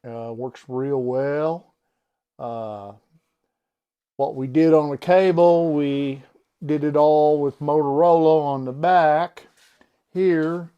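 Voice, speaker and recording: A man giving a casual product demonstration in a folksy, halting, unscripted way, as someone who works on radio gear. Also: mid-range voice, dark tone, smooth, muffled recording. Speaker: radio seller and technician demonstrating equipment.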